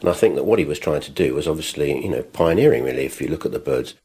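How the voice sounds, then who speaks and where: A man's voice: a bass voice, lively intonation, quick pace, warm tone, slight background noise. A man giving his opinions as a film commentator in a documentary interview.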